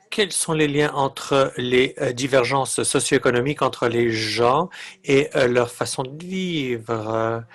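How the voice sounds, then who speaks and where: A man interpreting a webinar talk live, with a halting, phrase-by-phrase delivery. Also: medium pitch, fairly bright tone.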